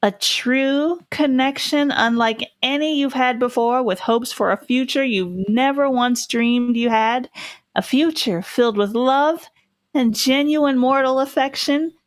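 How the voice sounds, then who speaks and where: A woman's voice: high-pitched, a little slow, dry recording. A woman playing a soothing, persuasive announcer, reading a polished parody resort advertisement full of rhetorical flourishes. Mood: inviting, romantic. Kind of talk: scripted parody advertisement narration.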